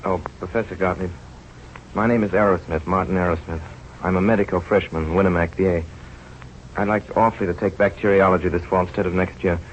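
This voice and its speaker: Guy as sincere young med student in an old radio drama, deep voice, muffled sound. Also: steady tone, fairly quick, a little hiss or hum, close to an everyday voice. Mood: eager, respectful. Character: earnest, eager young male medical student, narrating. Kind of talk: 1940s radio drama narration and acting. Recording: dull old radio recording.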